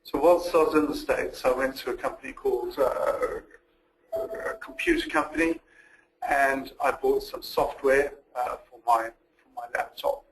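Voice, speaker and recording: A man giving a methodical, step-by-step walkthrough as a software demonstrator in a recorded webinar. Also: mid-range voice, sing-song, measured pace, poor audio. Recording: noticeable background noise.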